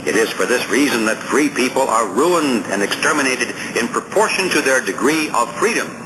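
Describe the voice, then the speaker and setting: A man narrates an audiobook over clearly audible background noise. His delivery is formal and oratorical, with deliberate emphasis on the written phrases. His voice sits at a medium pitch. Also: lively intonation. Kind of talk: scripted narration, reading a political essay.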